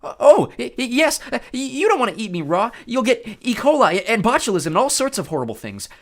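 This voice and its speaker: A panicky teenage satyr boy squeaks and stammers in a voice pitched far above normal. His tone is bright and tense, and the delivery swings wildly. It is a comic storybook voice. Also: big pitch swings, moderate pace, heavily acted. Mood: panicked pleading.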